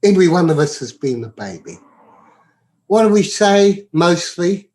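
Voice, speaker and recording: A man talking as a podcast guest in a reflective, philosophical way, halting and often repeating his words. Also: sits high, very animated, a little mellow. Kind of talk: reflective, spiritual casual talk.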